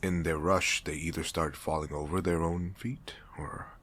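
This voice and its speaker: Man acting, stern male underworld manager, low husky voice, impatient, exacting, audio roleplay. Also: steady tone, measured pace, a bit bright, exaggerated voice. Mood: formal, disapproving.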